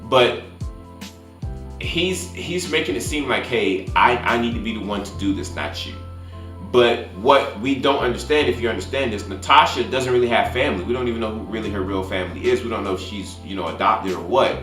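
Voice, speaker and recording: A man's voice: lowish voice, a little fast, a bit bright. A man giving an unscripted, casual movie recap as a reviewer, rambling and repeating words as he searches for the next one. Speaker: movie reviewer, channel host. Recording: roomy echo, faint music behind.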